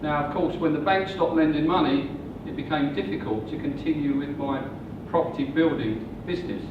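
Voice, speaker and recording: A man in his sixties giving a measured, slow sales presentation about property investing. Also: on the high side. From England. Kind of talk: seminar presentation. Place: hotel function room. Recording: muffled, distant, echoey room with noise.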